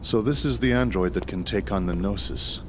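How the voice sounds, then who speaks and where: A man's voice: fairly low pitch, normal speed, noisy background, lightly acted. An actor voicing an awkward young male soldier who stammers when caught off guard in a game cutscene. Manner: flustered, stammering. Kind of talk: video game cutscene voice acting.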